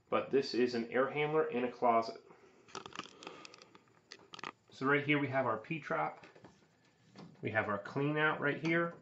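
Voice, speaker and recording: A man's voice: medium pitch, muffled recording, a little hiss or hum. A man who is an HVAC technician and channel host, plainly explaining equipment as he points things out during a hands-on walkthrough, filmed point-of-view on a camera-mounted mic. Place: indoor utility closet, later outdoors by a heat pump. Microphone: camera-mounted mic, speaker filming himself point-of-view.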